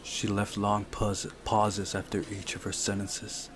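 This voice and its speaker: A man's voice: on the low side, monotone, a little slow, noisy background. A man reading a visual novel story aloud as narration, sometimes going back over a phrase. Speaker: visual novel player narrating and voicing the game's text.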